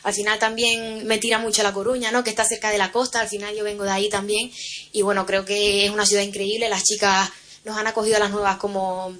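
A woman footballer answers interview questions. She talks quickly and in an even, flat tone. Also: mid-range voice.

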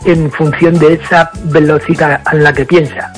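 An Irish man whose first language is English, speaking slowly and hesitantly with many pauses as a radio guest. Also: a little high, flat delivery, soft background music. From Ireland. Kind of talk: radio conversation.

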